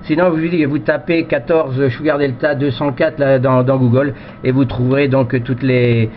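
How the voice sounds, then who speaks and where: A man's voice: medium pitch, fairly even intonation, moderate pace. Rambling man on a dull, noisy radio. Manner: rambling, frequent verbal tags. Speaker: amateur radio operator. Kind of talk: casual radio chat, unscripted. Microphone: cheap headset microphone.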